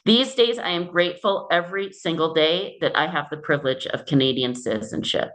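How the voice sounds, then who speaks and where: A woman from the United States, a native English speaker, giving measured, explanatory answers as a guest researcher in an interview. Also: low-pitched, fairly bright tone, rough recording.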